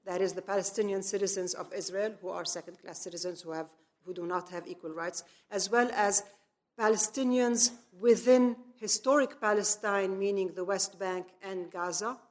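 A middle-aged woman from Lebanon giving a semi-improvised public lecture, phrasing deliberately and stressing key words. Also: mid-range voice. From grew up in Lebanon. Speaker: speaker, activist lecturer.

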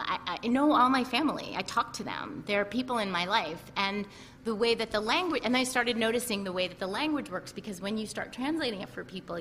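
A woman with a Lebanese-American accent speaks at a book talk with a bright, ringing tone. Her delivery is animated and chatty, full of restarts. A faint hiss sits under the recording. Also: medium pitch. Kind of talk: casual talk at a reading.

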